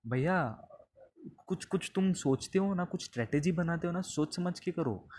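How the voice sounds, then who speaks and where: A man's voice: a little high, lively intonation, normal speed, a little mellow, a little hiss or hum. A man hosting a podcast, telling a comedic personal story conversationally and slipping between languages. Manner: conversational, mixes Hindi with English phrases.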